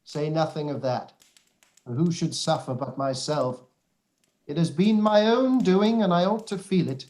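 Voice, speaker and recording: A man acts an older gentleman father in a radio play, fairly light in pitch for the part. He is weary and wry, delivering his words with dry deliberation. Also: unhurried, lightly acted. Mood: weary, subdued. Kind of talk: radio play live read.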